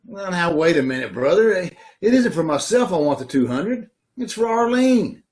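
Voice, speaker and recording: A man's voice: sits high, big pitch swings, moderate pace, darkish tone. A man playing a breezy, freeloading brother-in-law in an old-time radio comedy, jovial and wheedling, over a video call. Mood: cheerful.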